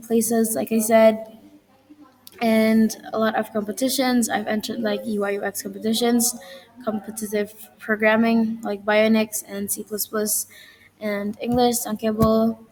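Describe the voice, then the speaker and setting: A woman's voice: fairly high pitch, a little mellow, rough recording. A young woman casually walks through her portfolio, listing items and often correcting herself. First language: Indonesian. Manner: rambling listing with frequent self-corrections. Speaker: student presenting her portfolio. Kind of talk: casual presentation walkthrough.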